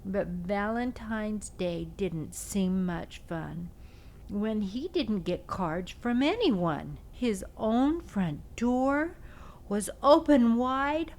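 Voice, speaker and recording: A woman hosting a read-aloud for young children, telling a picture book in an expressive, simple storytelling style. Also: medium pitch, very slow.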